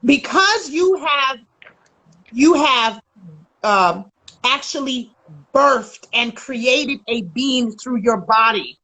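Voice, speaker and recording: A woman relationship coach in a casual conversation, loud, emphatic and blunt, swearing freely. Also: high-pitched, animated, very slow, rough recording.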